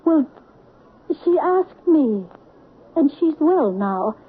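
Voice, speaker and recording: A woman's voice: high-pitched, very animated, drawn-out words, heavily acted. An actress playing a flustered, anxious middle-aged mother in a radio drama. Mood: defensive. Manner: flustered, pleading. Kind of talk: 1970s radio drama acting. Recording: tinny old radio broadcast.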